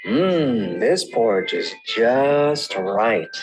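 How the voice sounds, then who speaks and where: A man's voice: mid-range voice, lively intonation, extremely slow, soft background music, voice pushed down. A man voicing a curious little girl with curly hair, chirpy and exclaiming, stretching out his words as he tries things. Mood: fussy, exclaiming.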